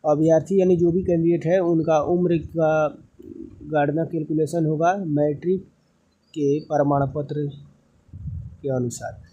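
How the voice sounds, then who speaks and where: Dark-voiced man explaining an official notice. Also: fairly high pitch, fairly even intonation, unhurried, pauses often, muffled recording. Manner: explanatory, repeats and rephrases key points. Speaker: presenter explaining a job recruitment notice. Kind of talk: instructional read-through of an official notice with plain explanations.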